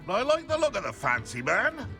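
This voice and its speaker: An actor playing a gruff, menacing pub keeper with mocking humour and a gravelly voice in a video game. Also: male voice, high voice, wildly swooping pitch, fairly quick, pushed and bright, soft background music, exaggerated voice. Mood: gruff, amused. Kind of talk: video game character acting.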